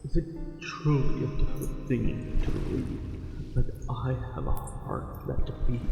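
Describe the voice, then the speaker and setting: Guy doing a lovestruck merman for asmr, slow n formal, gravelly edge, super echoey. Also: medium pitch. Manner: formal, hesitant, ornate courtly speech. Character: smitten, courtly angler merman, formal and tender. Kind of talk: ASMR roleplay acting. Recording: cavernous echo with long reverb, music behind. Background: music.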